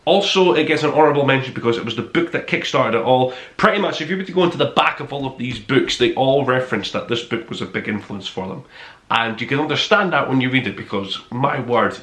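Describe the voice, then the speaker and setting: A man's voice: mid-range voice, expressive, quick pace, fairly bright tone. A man hosting a casual book review show, speaking in a gushing, emphatic and enthusiastic way. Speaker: host of a book review podcast. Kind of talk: casual review to camera, top five countdown. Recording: roomy, echoey indoor sound.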